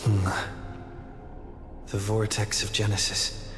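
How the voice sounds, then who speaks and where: A searching, solemn wanderer in a game with a fairly low voice. Long reverb surrounds the words and music sits underneath. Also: male voice, flat delivery, slow. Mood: wary, wondering. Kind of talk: dramatic game voice acting. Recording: echoey hall reverb, music behind.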